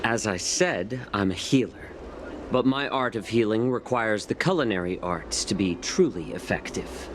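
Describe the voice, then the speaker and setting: Guy doing a calm teasing game healer, lowish voice, takes his time, noisy behind. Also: normal speed, close to an everyday voice. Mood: patient. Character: unflappable, genial male healer-cook, slyly teasing. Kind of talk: English game cutscene voice acting.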